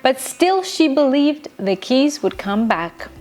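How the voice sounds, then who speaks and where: Slow, high-voiced woman telling bedtime stories. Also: sing-song, a little mellow. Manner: dramatic, deliberate storytelling with emphatic pauses. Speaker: storyteller, show host. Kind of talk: expressive children's bedtime story reading. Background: music.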